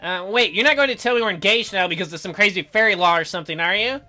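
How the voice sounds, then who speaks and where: A man's voice: high voice, quick pace, pushed and bright, soft background music. A man reading a visual novel aloud as a let's play host, in a theatrical, jokey way with his own ad-libbed commentary.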